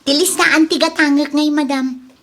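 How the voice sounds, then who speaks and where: A woman's voice: high voice, steady tone, moderate pace, a little hiss or hum, lightly acted. A Filipino-accented woman playing an eager, flirtatious housemaid in a comedy drama, giggly and coy. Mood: eager, deferential. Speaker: actor.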